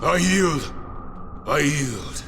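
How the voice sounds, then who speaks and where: Man voicing a gravelly, taunting warrior in reverb. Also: on the high side, animated, drawn-out words, noisy background, pitched above the performer's own voice, exaggerated voice. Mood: grim satisfaction. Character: taunting, sardonic, battle-loving male super-soldier warrior. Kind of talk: dramatic science-fiction audio story. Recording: long cavernous reverb over music.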